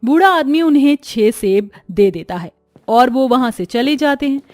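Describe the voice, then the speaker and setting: A woman reads scripted narration for an animated moral story. Her voice is on the high side. The sound is dry, with soft music underneath. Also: normal speed, no echo.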